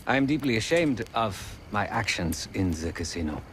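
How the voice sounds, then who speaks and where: An actor playing a solemn, remorseful ex-killer turned pacifist, speaking formally in a game cutscene. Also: male voice, fairly low pitch, sing-song, creaky, fairly bright tone, slight background noise, full character voice. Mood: grave remorse. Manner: formal, measured, grave. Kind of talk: video game cutscene acting.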